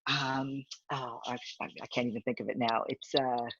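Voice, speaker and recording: A deep-voiced woman in her fifties talks freely as an interview guest and often circles back and restarts her sentences. Her voice is husky and low. The recording is dry and slightly dull. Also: steady tone, normal speed, a bit bright, no room sound. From Canada. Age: 50s. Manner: rambling, talkative, frequent restarts. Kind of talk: casual interview chat.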